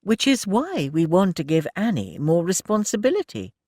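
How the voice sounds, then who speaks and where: A man's voice: high-pitched, huge pitch swings, moderate pace, darkish tone, dry recording, studio quality, voice pushed up, exaggerated voice. A man voicing a refined middle-aged lady who speaks with prim, patronising politeness. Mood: officious. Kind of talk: audiobook character voice.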